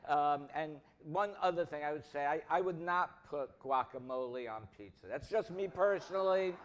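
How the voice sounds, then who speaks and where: A man speaking as a minister to his congregation, reading and preaching with measured, deliberate phrasing. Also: fairly high pitch. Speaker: minister leading a church service. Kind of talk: sermon, reading aloud to a congregation.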